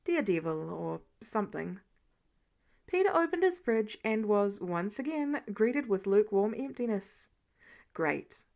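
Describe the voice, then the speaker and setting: A woman's voice: medium pitch, gravelly, darkish tone. A woman reading a story aloud as scripted narration, acting it out expressively. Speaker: podfic narrator reading fan fiction.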